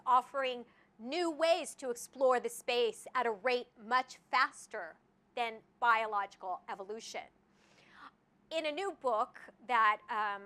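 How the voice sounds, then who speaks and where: A woman with a high, bright voice gives an academic lecture at a mic. She talks in a lively way, dropping in asides and checking in with the audience with "right?". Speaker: guest lecturer. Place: lecture hall. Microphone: handheld or podium mic.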